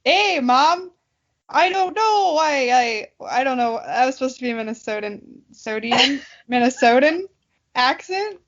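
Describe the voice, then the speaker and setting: A woman's voice: high voice, lively intonation, a little slow, highs cut off. A young adult woman co-hosting a podcast in casual comedic banter, silly, teasing and giggly. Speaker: podcast co-host.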